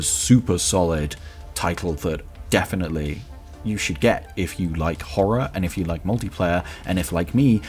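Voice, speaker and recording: Low-voiced enthusiastic man hosting. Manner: enthusiastic, emphatic. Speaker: podcast host. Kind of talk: conversational countdown review of favourite games.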